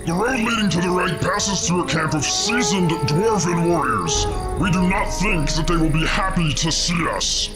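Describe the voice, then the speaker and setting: A man's voice: a little high, lively intonation, moderate pace, hardly any pauses, natural voice, rough recording. A man playing a sly, haughty ogre chieftain in a fantasy game, condescending and theatrical, calling himself "we". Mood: scheming, instructive. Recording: loud music over the voice.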